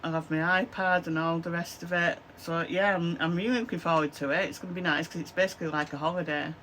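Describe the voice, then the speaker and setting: Hoarse Lancashire woman chatting to camera. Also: fairly low pitch, fairly even intonation, fast talker, fairly bright tone, slight background noise. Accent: Lancashire English. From Lancashire, England. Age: 50s. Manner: rambling, with many "um, so yeah" fillers. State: hoarse, chesty cough, losing her voice. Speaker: craft vlogger. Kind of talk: casual chatty to-camera vlog. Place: small home craft room indoors. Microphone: camera at desk, close framing.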